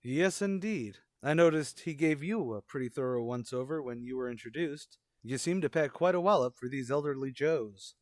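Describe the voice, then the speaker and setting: A man's voice: medium pitch, normal speed, few pauses, darkish tone, no room sound, studio quality, close to an everyday voice. An actor playing an earnest, naive male teacher, easily flustered and literal-minded, in an old-time radio comedy. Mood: agreeable.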